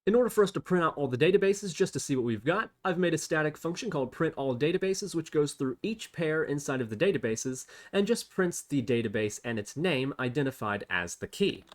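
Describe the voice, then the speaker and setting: A man's voice: on the high side, expressive, a little fast, hardly any pauses. A man hosting an unscripted programming tutorial, thinking aloud step by step as he types. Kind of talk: unscripted screen-recorded coding tutorial.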